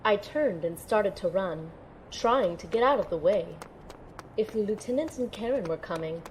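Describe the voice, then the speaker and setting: An earnest young heroine, played by a woman tells her story in audio drama narration. She is suspenseful and dramatic in a mid-range voice, with clear noise behind her. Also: mid-range voice, expressive, moderate pace, natural voice, low-quality recording. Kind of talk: scripted first-person narration.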